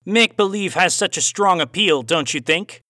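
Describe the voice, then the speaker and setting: A theatrical phantom cowboy villain from an audiobook, Australian with a hint of twang. The voice is raised, bright and forceful, and very animated. He puts formal, taunting questions with deliberate menace. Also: high-pitched, no echo, studio quality, pitched above the performer's own voice. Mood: mocking menace. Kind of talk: audiobook character voice.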